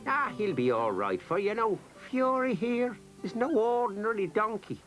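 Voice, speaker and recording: A kindly old Irish donkey keeper tells tall tales in a lilting Irish accent. His voice sits a little high and swoops wildly up and down as he teases and coaxes his young listeners. Soft music plays faintly underneath. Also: sing-song, normal speed. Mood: reassuring. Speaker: storyteller character. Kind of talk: children's drama acting.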